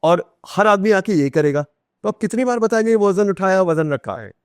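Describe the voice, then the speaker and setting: Emphatic Indian man explaining, higher-pitched voice. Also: lively intonation, normal speed, clear, resonant tone, dry recording, clean studio sound. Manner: emphatic, repeats phrases and poses rhetorical questions; mixes Hindi with English phrases. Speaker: interview guest, author. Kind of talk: explanatory conversation with anecdotes.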